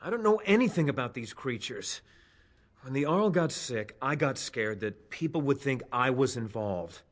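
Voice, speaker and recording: A man's voice: mid-range voice, expressive, normal speed, lightly acted. An actor playing a nervous, evasive elf spy in a fantasy game, defensive and pleading as he stammers. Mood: frightened, pleading.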